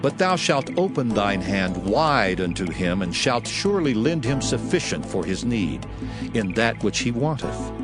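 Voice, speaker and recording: A man giving a solemn, declamatory dramatized reading of scripture in measured phrases. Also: fairly low pitch, very animated. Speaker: Bible narrator. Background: background music.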